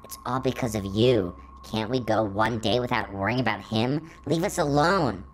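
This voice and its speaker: A woman's voice: extremely low pitch, moderate pace, slight background noise, voice pushed down. A woman voicing a polite, weary female robot stranger with a courteous, formal way of speaking. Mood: distressed.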